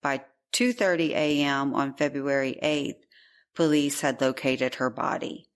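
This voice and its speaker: Woman, low voice, true crime podcast co-host, chatty case recap. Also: unhurried, clear, resonant tone. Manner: chatty, laughs easily. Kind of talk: reading true crime news in a conversational way.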